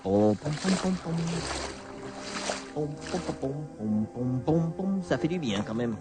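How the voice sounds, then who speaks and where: A carefree male mechanic in a radio drama, chattering to himself. His voice rides fairly high and excitable, full of exclamations and repeated phrases. Music sits behind him. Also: slow. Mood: carefree, humming.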